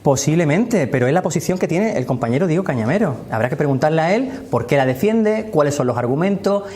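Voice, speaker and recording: A man's voice: a little high, darkish tone. Andalusian man arguing steadily. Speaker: party communications officer, guest. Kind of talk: TV studio interview.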